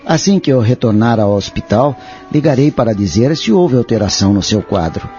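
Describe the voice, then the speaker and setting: Man acting a mellow, courteous Brazilian son. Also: medium pitch, animated, a little fast, close to an everyday voice. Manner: courteous, formal. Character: polite, worried grown son. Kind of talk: audio drama acting. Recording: dull lo-fi audio, music behind.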